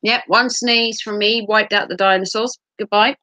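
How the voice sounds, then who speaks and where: A woman from the UK with a cold, wheezy and clearing her throat, chatting casually and rambling on a livestream with self-mocking jokes. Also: medium pitch, fairly even intonation, moderate pace, bright, forceful tone, dry recording. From United Kingdom. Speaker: livestream host. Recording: dull lo-fi sound.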